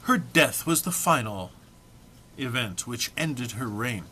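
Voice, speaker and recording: A man's voice: medium pitch, animated, slow, sharp tone, a little hiss or hum. A man giving a dramatic comic reading of a humorous text, mock-serious in delivery with dry muttered asides. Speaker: reader.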